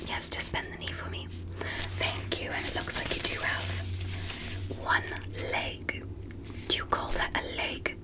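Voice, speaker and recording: A curious, gentle alien doctor, performed by a woman conducts a soft ASMR examination. The delivery is almost entirely a breathy whisper. The phrasing is formal and clinical, careful and full of encouragement. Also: a little slow, long pauses, close to an everyday voice. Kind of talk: ASMR medical roleplay, whispered.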